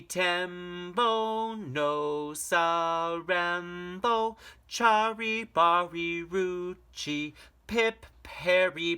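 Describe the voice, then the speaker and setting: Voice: mid-range voice, a bit bright, clear, resonant tone, voice pushed up. A storyteller voicing a small, polite, breathless boy who strains to chant a very long name. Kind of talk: storybook reading.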